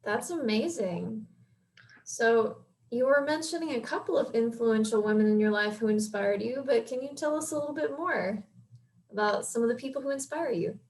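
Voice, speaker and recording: A woman interviewer asks questions in a polite, encouraging manner. Her voice sits slightly high. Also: moderate pace, darkish tone.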